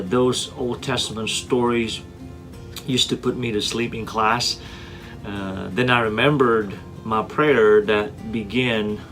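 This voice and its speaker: A man in his forties from Indonesia, introducing himself as a teacher in an earnest, prepared talk with frequent "uh" fillers and repeated words. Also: fairly low pitch. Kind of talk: scripted self-introduction. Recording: soft guitar music underneath.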